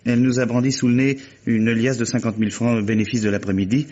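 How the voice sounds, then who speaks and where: A man playing a composed, bereaved nephew in a French radio mystery play, speaking in a measured, polite, articulate way. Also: mid-range voice, level tone, warm tone, natural voice. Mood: composed, explanatory. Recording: dull lo-fi radio recording.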